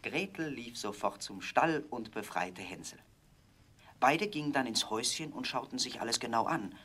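A man narrating a scripted fairy tale for children in a measured, dramatic storytelling manner. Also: mid-range voice, animated, pushed and bright, a little hiss or hum.

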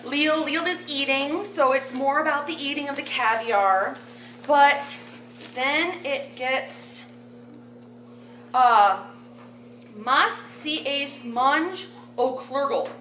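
A woman in her thirties reading aloud from a sheet in a community room, explaining a fake translation in a deadpan, mock-scholarly way. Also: high-pitched, takes it slowly, slight background noise. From Poland. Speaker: bardic performer. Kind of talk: mock translation comedy bit. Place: community meeting room with audience. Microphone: far camera. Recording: echoey room from afar.

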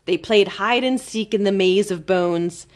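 A woman storyteller reading a children's book aloud expressively, with careful, dramatic emphasis and pauses. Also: medium pitch, darkish tone. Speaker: library storyteller.

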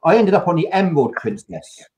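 An older man hosting a live video-call chat, telling a travel story with lively, anecdotal energy. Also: high-pitched, fairly quick. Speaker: host. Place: home room, video call.

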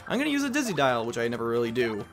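A man's voice: mid-range voice, wildly swooping pitch, rapid-fire. A man casually commenting on a video game as he plays, rambling with jokey asides. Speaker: let's play host. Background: game music.

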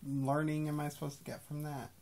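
A man's voice: on the high side, little pitch movement, a little fast, vocal fry, darkish tone. A man in his thirties chatting casually and playfully in a small bedroom, musing as he goes. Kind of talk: casual chat. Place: small bedroom, lying in bed.

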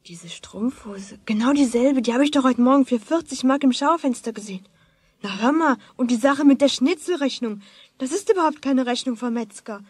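An actress playing a pushy, sharp-eyed big sister in a radio play, with a husky edge. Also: female voice, sits high, normal speed, no echo. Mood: accusing.

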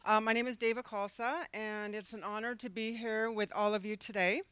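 A middle-aged woman with a Canadian accent reads her prepared campaign speech at a podium. Her voice is smooth and clear, held close to a handheld mic with a dry sound and little room echo. She stays earnest and steady, with a few small fillers. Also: mid-range voice, moderate pace. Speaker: candidate for a board seat. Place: conference room, in front of a backdrop.

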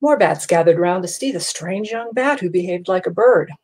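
Low-pitched woman reading a storybook. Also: animated, moderate pace, a little mellow, husky. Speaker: storybook reader. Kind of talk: expressive children's read-aloud. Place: small furnished room at home.

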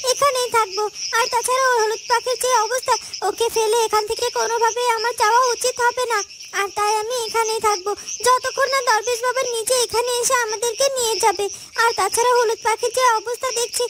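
Tiny, very high-pitched timid girl. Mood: worried. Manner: hesitant, repeats words. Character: timid, worried young fairytale girl Shankhini, small-voiced. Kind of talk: cartoon dubbing. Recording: clear background noise, insect ambience.

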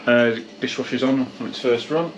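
A man's voice: mid-range voice, fairly even intonation, moderate pace, heavy background noise. A British man giving a casual, matter-of-fact running commentary as a pub landlord in a behind-the-scenes vlog. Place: inside a pub and its cellar.

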